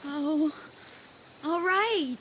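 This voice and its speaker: A woman's voice: sits high, very slow, noisy background. A woman playing a desperate, pleading teenage girl in a dramatised audiobook. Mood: reluctant. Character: desperate teenage girls, a trapped spirit and her impostor.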